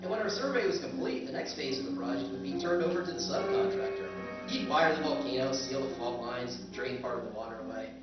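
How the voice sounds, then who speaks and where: A man's voice: mid-range voice, quick pace, hardly any pauses, over loud music, natural voice. An actor playing a wry, skeptical planetary surveyor who tells his own tale in a staged old-time radio drama. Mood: wry narrating. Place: convention stage. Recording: echoey stage recording, distant and dull.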